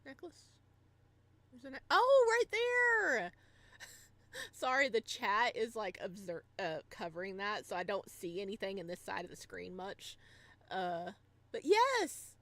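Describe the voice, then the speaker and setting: A woman's voice: mid-range voice, wildly swooping pitch, measured pace, a bit bright, no room sound. A bubbly, giggly woman hosting a livestream, chatting casually with viewers and reading a visual novel aloud. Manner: bubbly, giggly, rambling reactions to chat and story. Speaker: livestreamer and host.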